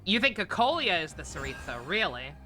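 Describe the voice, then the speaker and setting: A man's voice: high-pitched, very animated, fairly quick, pushed and bright. A man streaming a video game with casual, rambling commentary, often hesitating and trailing off mid-thought. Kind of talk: casual livestream commentary over gameplay. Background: game music.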